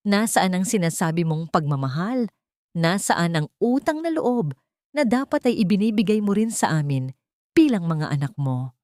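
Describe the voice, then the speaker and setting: A woman's voice: fairly low pitch, animated, normal speed, darkish tone, no room sound, lightly acted. A woman narrator plays a scheming adult son in an audio story. He pleads and guilt-trips with impatient pressure. The recording is close and dry. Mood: pleading.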